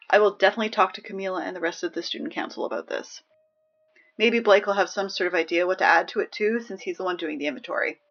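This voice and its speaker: A woman's voice: medium pitch, a bit bright, lo-fi sound. A woman playing a flustered, exasperated teenage school announcer in an audio drama, talking in a hesitant, pleading, rambling way. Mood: upbeat. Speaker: morning announcements host.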